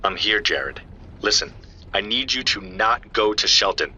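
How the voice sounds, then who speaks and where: A man's voice: lowish voice, expressive, unhurried, pushed and bright, lo-fi sound, slight background noise, exaggerated voice. An actor playing a worried man who calls his friend and urgently pleads with him to stop. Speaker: caller. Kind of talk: audio drama acting.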